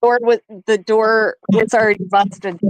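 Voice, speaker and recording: A woman's voice: on the high side, fairly even intonation, unhurried, no echo, poor audio. A woman on a live stream panel, skeptical and teasing in her remarks. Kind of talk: casual live stream chat.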